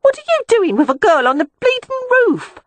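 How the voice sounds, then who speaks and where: A woman voicing coarse, nosy brothel women, calling out shrill and brassy. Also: sing-song, normal speed, no room sound. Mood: heckling.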